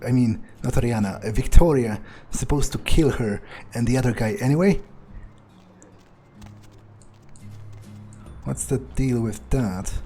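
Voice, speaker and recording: A man's voice: mid-range voice, dark tone, husky. A man playing a cynical, callous young vampire in an in-character game playthrough, musing aloud in a hesitant, dismissive way. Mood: cold, callous. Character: callous, cynical young male vampire, foul-mouthed loner.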